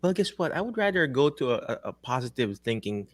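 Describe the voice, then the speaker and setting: A man with a Filipino accent hosting a casual interview over a video call, animated and laughing often. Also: mid-range voice, brisk, no room sound. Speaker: host.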